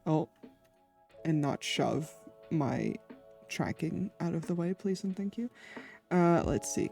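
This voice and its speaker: A woman's voice: on the low side, warm tone. A woman streamer chatting casually with her viewers, a little congested, giggling often. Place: home.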